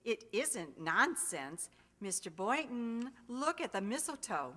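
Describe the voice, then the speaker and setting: A woman's voice: fairly high pitch, very animated, takes it slowly, bright, forceful tone, heavily acted. A woman acting in a radio sitcom as a fussy, matchmaking middle-aged wife, insistent and chiding. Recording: echoey hall, long reverb.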